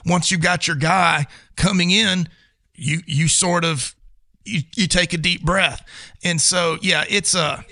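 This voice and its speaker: A man's voice: sits high, steady tone, takes it slowly, creaky, sharp tone, dry recording, studio quality. An American man co-hosting a sports talk show, giving casual, opinionated commentary in an emphatic way.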